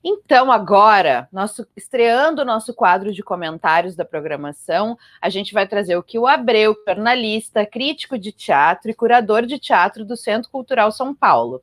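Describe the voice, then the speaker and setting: A woman's voice: mid-range voice, lively intonation, moderate pace, hardly any pauses, no room sound, clean studio sound. A woman hosting a live online festival program in a conversational style. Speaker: program host, journalist.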